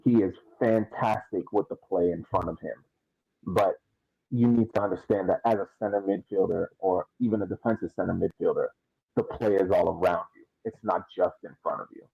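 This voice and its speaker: A man on a podcast panel giving casual sports analysis, explaining in halting, emphatic phrases that he often repeats. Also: deep voice, gravelly, husky, no room sound, dull audio.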